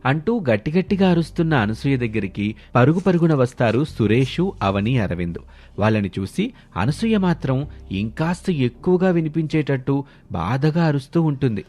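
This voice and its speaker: A man's voice: mid-range voice, very animated, moderate pace, darkish tone. A man narrating a scripted family moral story as a storyteller. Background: background music.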